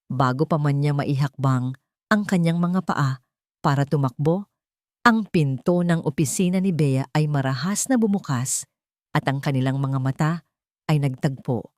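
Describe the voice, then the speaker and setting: A woman's voice: sits low, moderate pace, darkish tone, no room sound, studio quality. A woman with a Filipino accent reading a scripted story as a dramatic narrator.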